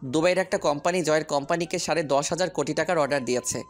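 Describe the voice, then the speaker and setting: A man's voice: a little high, rapid-fire, faint music behind, lightly acted. A man playing a determined businessman hero in an audio story, speaking firmly and with purpose. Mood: purposeful. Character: determined businessman hero, commanding boss, loyal friend.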